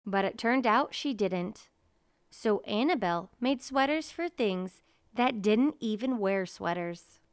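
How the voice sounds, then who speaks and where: Slow, clear-voiced woman storyteller. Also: medium pitch, expressive, no echo, studio quality. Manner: measured, deliberate storytelling. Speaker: storybook reader. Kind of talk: children's picture book read-aloud.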